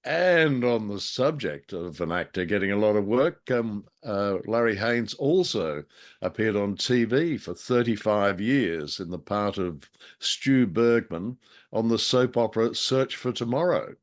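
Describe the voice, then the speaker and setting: A middle-aged man co-hosting a show, chatting casually about stories in a wordy, wry, measured way. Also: lowish voice, animated. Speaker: co-host of an old-time radio drama show. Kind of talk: casual chat about a story.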